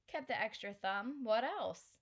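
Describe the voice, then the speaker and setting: A woman's voice: a little high, lively intonation, unhurried, voice pushed up. A woman reading aloud and voicing a blunt, wry Filipino grandmother in a slightly raised, matter-of-fact voice. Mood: storytelling. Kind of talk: audiobook character reading.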